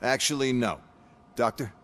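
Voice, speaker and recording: An actor voices a contemptuous corporate overseer in a video game, his voice mid-pitched and ordinary. He talks down to everyone, mocking and dismissive. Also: moderate pace, a bit bright, close to an everyday voice. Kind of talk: video game cutscene acting.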